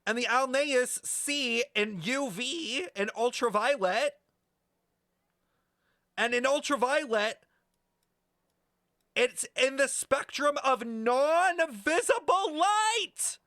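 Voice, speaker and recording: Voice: high-pitched, studio quality. A brash, sweary livestreamer chatting casually and rambling through an explanation, playfully scolding viewers with theatrical emphasis. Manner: animated, theatrical emphasis with drawn-out words. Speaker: streamer reacting to a video game story. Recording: roomy echo, faint music behind.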